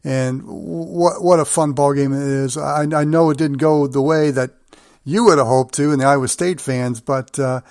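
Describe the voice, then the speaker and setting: An American man hosting a sports interview, putting his questions in halting, deliberate phrases. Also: medium pitch, steady tone, darkish tone, dry recording, studio quality. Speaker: sports show host, interviewer.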